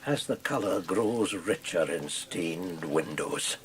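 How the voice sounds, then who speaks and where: An older, convivial Scottish gentleman in a radio drama. He speaks slowly and grandly, savouring his words with animated rises and falls, over slight background noise. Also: sits low. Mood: genial, relishing. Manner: florid, relishing, unhurried. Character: convivial, florid old Scottish gentleman, proud wine host.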